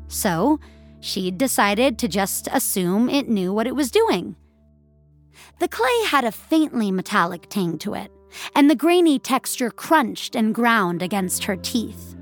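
A woman narrator reading scripted audio fiction as a storyteller. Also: fairly high pitch.

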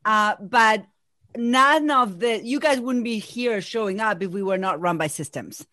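A woman in her forties from Argentina, a native Spanish speaker with an Argentine Spanish accent, talking as a podcast guest and executive coach in an energetic, think-aloud way. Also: medium pitch, unhurried, no echo, studio quality. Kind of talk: casual podcast conversation.

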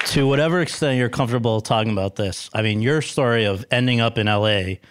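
A man hosting a podcast interview in front of a student audience, reading a formal introduction in a measured way. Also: mid-range voice, over a noisy crowd, very noisy. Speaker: podcast host. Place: university venue with audience.

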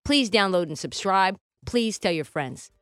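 A woman's voice: medium pitch, expressive, unhurried, a bit bright, smooth, no room sound, studio quality. A woman hosting a casual sports talk podcast in a conversational, punchy, playful way.

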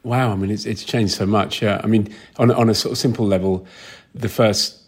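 Lower-voiced man, thoughtful interview guest. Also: fairly even intonation, moderate pace, husky. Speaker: film sound designer being interviewed. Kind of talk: reflective interview answers.

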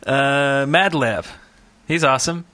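A man's voice: mid-range voice, slow pace, pushed and bright. A man co-hosting a podcast in casual chat, rambling along in a jokey, self-deprecating way. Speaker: podcast co-host.

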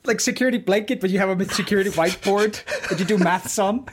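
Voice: mid-range voice, fast talker, noisy background. A speaker bantering casually in a group, with a joking, teasing way of talking.

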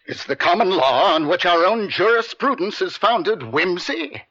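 An actor playing an eloquent, combative defense attorney in an old radio drama, speaking in formal rhetorical style. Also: male voice, high voice, sing-song, a little slow, pushed and bright, heavily acted. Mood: formal, insistent. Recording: dull lo-fi vintage radio.

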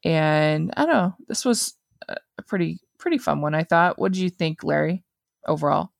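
A lower-pitched woman with a mixed American accent, a bit of East Coast and a bit of Utah. She talks in a chatty, easy way, laughing often and restarting her thoughts. Dry recording, no room sound. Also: animated, normal speed, creaky, no echo. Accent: mixed American, hints of East Coast and Utah. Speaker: podcast co-host. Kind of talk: casual chat recapping a sitcom.

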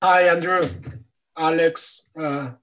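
A man's voice: a little high, fairly even intonation, fairly bright tone. Man. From Mexico. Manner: friendly, halting. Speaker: caller. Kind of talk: casual chat. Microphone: video call.

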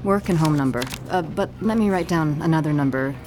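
Female detective, thirties played by an actress: no-nonsense, tired, deliberate, on the low side. Crime radio drama. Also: noisy background, close to an everyday voice. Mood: guarded, frank. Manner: blunt, deliberate, guarded.

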